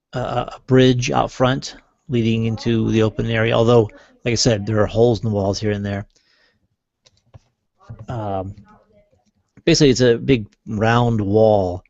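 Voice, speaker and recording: A man with a fairly low voice narrates a tabletop roleplaying game as game master. He feels his way haltingly through descriptions. The sound is dull, lo-fi and roomy. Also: darkish tone. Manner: halting, hesitant, trailing off.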